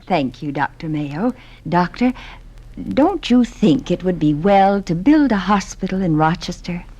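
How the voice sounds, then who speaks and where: A woman's voice: on the low side, lively intonation, a little slow, dark tone. An actress playing a courteous, earnest Mother Superior in a 1950s radio drama, speaking formally and politely. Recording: dull vintage radio recording.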